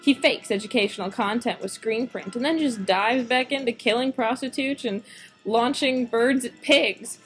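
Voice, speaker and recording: A woman's voice: fairly high pitch, moderate pace, faint music behind, close to an everyday voice, rough recording. A woman playing a tough, no-nonsense private detective in an amateur noir radio drama, curt and streetwise. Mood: brooding narration.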